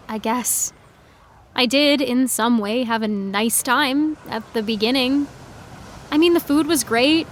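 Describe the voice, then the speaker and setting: A woman plays a frustrated teenage fangirl with a high, youthful voice. She speaks earnestly and articulately, with indignant conviction, in the natural style of an audio drama. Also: a little hiss or hum, lightly acted. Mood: hesitant, defensive. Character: frustrated, opinionated high school senior fangirl.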